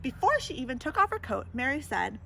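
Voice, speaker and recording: Female storybook reader, higher-pitched and very expressive, chatting to kids between lines; some background noise. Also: fairly quick, gravelly, poor audio. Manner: animated, addresses young listeners with questions and comments. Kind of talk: read-aloud children's picture book with asides to listeners.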